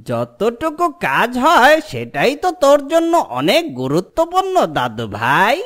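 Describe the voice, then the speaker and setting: A woman voicing a doting old ogre grandfather in a fairy-tale cartoon, gently coaxing and cautioning. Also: on the high side, huge pitch swings, full character voice. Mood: instructing. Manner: slow, coaxing, affectionate address to a grandchild.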